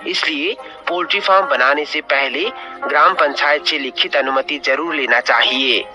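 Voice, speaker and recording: Higher-pitched man narrating farming advice. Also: normal speed, music in the background. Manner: methodical, repetitive explaining. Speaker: poultry farmer giving advice. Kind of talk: scripted instructional narration.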